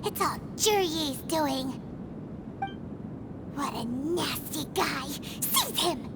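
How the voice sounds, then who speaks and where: A woman voicing a small, excitable, indignant childlike fairy in a video game, with a squeaky voice and loud music over it. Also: drawn-out words, background noise, heavily acted. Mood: shocked, indignant.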